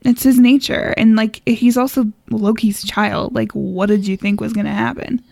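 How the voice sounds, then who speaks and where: Gravelly-edged woman, casual co-host. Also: a little high, little pitch movement, moderate pace, dark tone, no echo, studio quality. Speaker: podcast co-host. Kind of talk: casual chat.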